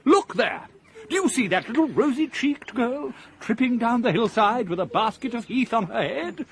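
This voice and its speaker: A man's voice: very high-pitched, expressive, moderate pace, fairly bright tone, a little hiss or hum, full character voice. An actor playing an impassioned male philosopher in a radio drama, declaiming with scorn against progress. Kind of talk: 1980s radio drama acting.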